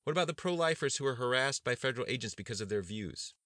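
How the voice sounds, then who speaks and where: A man reading a novel aloud, voicing a stubborn, forceful male governor who insists loudly. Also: medium pitch, lively intonation, quick pace, no room sound, clean studio sound, voice pushed up, natural voice. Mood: perturbed. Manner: loud and insistent.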